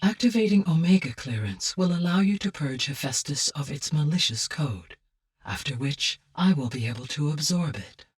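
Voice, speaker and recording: A benevolent artificial intelligence from a video game. Her female voice is slightly breathy and sounds a little removed from the mic. She explains things calmly, in formal, exact, measured sentences. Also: low voice, far from the mic, exaggerated voice. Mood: composed, explanatory. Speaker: game character, advisor. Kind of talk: scripted video game dialogue.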